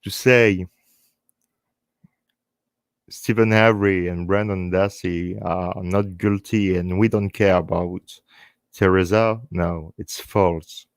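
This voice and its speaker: A man with a French accent and French as his first language, hosting a live stream in halting English, speaking earnestly and unscripted in short phrases with repeated words. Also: deep voice, fairly even intonation, no echo. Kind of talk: earnest unscripted talk to online viewers.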